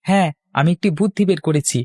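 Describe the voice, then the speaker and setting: A man's voice: high voice, fast, mellow, dry recording. A young village lad from a cartoon, determined and full of schemes. The voice is fairly light for a male, recorded dry and close. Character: resolute, scheming young village man, loyal friend. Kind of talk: Bengali cartoon voice acting.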